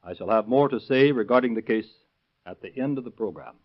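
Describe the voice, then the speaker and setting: A man delivers a formal prepared address in a medium-pitched voice. His reading is stiff and official, captured on a muffled vintage radio recording. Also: brisk. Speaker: fire department captain, guest speaker.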